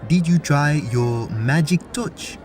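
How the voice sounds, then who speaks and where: A man's voice: on the high side, a little mellow, faint music behind. A man playing a friendly, naive traveler in a fantasy audio drama, speaking formally and innocently. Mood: concerned. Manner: innocent, deliberate, formal wording.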